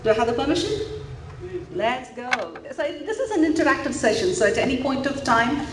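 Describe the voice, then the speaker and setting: A woman's voice: high-pitched, animated, a little fast, background noise. A woman from India giving an interactive mindfulness talk to parents in a school auditorium, encouraging and asking the audience questions. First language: an Indian language. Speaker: mindfulness coach. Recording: echoey hall, faint room ambience.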